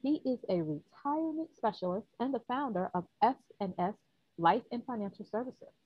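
A woman's voice: a little high, animated, normal speed, breathy edge, dry recording, lo-fi sound. A woman hosting a podcast interview, introducing her guest and asking him questions. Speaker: podcast host.

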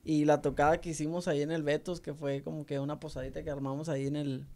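Mexican man co-hosting a casual podcast, chiming in often and talking in street slang. His voice sits a little high for a man. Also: little pitch movement, quick pace, mellow. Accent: Mexican Spanish. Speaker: podcast co-host.

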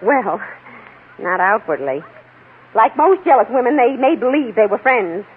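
An actress playing a gossipy, middle-aged American housewife, garrulous and self-important, in 1940s radio drama style. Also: female voice, fairly high pitch, very animated, unhurried, slightly rough, exaggerated voice. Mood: confiding. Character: gossipy, nosy, fluttery housewife and eager neighbourhood witness. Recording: muffled, narrow-band old radio recording.

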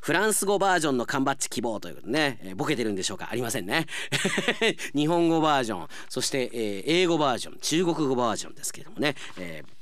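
A man hosting a radio show as an announcer, talking in a lively way with conversational asides. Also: medium pitch, rapid-fire, no echo.

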